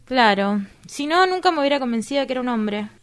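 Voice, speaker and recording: A woman's voice: sits high, animated, quick pace, heavy background noise. A woman with an Argentine accent playing a coy, earnest young woman in love in a radio drama. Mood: earnest, storytelling.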